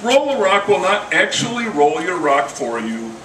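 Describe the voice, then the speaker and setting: A man's voice: high voice, big pitch swings, normal speed. Older man, wry poet, live reading, distant roomy sound, noisy background. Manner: wry, dry humor. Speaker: poet reading own work. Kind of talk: live poetry reading with casual introductions. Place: indoor coffee house reading. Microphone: stand microphone, recorded by camera from a distance.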